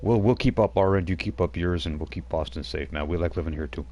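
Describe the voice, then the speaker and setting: A man's voice: low-pitched, quick pace, warm tone, lightly acted. A man voicing a tough-talking male werewolf brawler, blunt and slangy, ending lines with "man". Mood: gruff friendly.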